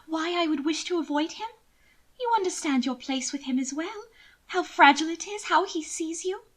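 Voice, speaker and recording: Casting: female reader as a scheming young noblewoman, sugary and coaxing, high voice with sweeping melody, for storybook drama. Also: expressive, normal speed. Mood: coaxing, pointed. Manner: gushing, affected endearments, coaxing. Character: manipulative, doting upper-class young lady, twin sister. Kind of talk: audiobook character acting.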